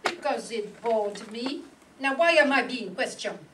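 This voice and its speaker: Indignant, disdainful mademoiselle played by an older woman, formal and slow-spoken, higher register. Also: expressive. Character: haughty young French woman, indignant suspect. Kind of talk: old-time radio acting before an audience. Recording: live stage pickup, distant, background noise.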